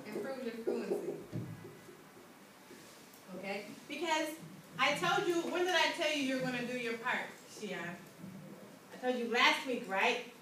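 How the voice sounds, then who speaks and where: High-pitched woman teaching in an echoey room. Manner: checks understanding with short questions. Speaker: English teacher. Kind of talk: interactive classroom lesson. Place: indoor school classroom. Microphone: distant room microphone. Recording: echoey, noisy classroom, far from microphone.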